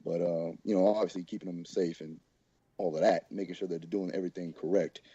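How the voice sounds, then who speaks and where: A strength coach co-hosting a podcast, his voice a little hoarse and very dark. He talks in a loose, laid-back way. The recording is dry and a bit dull. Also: low-pitched, fairly even intonation, fast talker, no room sound. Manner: laid-back, many fillers. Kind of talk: casual podcast chat.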